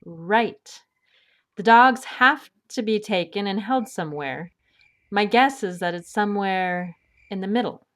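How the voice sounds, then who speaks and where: A woman's voice: medium pitch, fairly bright tone, natural voice. A woman playing a sensible, bookish adventurer, precise and dryly skeptical, in an audio drama. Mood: thoughtful, focused. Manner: precise, dry, measured.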